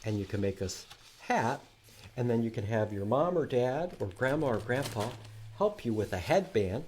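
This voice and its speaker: Animated man leading children's storytime. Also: medium pitch, normal speed, a little hiss or hum. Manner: instructional, addressing young children with questions. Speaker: library storytime host. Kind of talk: children's read-aloud and sing-along.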